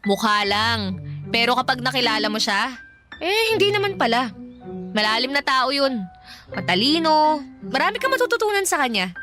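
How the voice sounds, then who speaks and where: A woman's voice: high voice, normal speed, long pauses, music in the background. A woman playing a playful, pushy young matchmaker in a radio drama, teasing and giggly. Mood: persuasive, upbeat. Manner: teasing, giggly, animated, persuasive. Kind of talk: Filipino radio drama dialogue.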